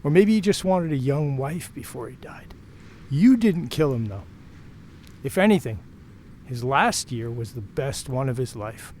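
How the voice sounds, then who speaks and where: A man's voice: medium pitch, lively intonation, unhurried, darkish tone, slight background noise, lightly acted. A man playing a frank, protective married mill worker and former lover in an audio drama, talking in a plain, reassuring, conversational way. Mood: frank, consoling.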